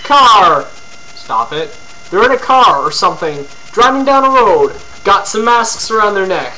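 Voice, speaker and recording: A man's voice: high voice, sing-song, a little slow, sharp tone, slight background noise, rough recording. A young man from Ontario, rambling casually to his webcam with lots of fillers and crude jokes. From Ontario, Canada. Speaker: vlogger. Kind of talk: casual rambling video blog.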